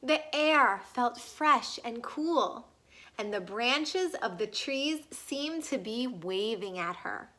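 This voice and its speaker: A woman reading a story aloud for young children in an animated, emphatic way, pausing for question asides. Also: a little high. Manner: animated, emphatic, drawn-out key words. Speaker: storybook reader.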